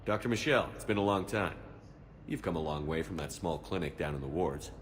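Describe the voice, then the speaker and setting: A man playing a steady, resolute military commander in a dramatic video game. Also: low-pitched, fairly quick, slight background noise. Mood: friendly, concerned. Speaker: soldier hero. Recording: reverberant space, music behind.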